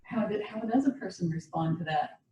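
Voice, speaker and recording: A workshop participant asking measured clarifying questions in a group discussion. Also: mid-range voice, expressive, dark tone. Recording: echoey room from far off with faint noise.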